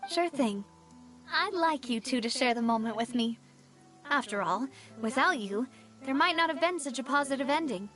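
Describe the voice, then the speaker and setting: A woman playing a confident, frank, warm-hearted young gang leader in a video game, with a polished conversational delivery. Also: high voice, animated, moderate pace, soft background music. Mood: friendly.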